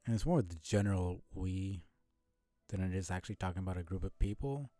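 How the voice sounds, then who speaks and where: A man streaming a video game with casual commentary, musing and thinking aloud in a low-key way. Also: brisk, warm tone, dry recording, faint music behind.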